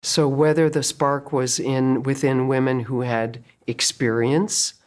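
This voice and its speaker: A woman's voice: very deep voice, flat delivery, takes it slowly, a little mellow. An older woman, a playwright and director, telling her story in an earnest, reflective way in a documentary interview.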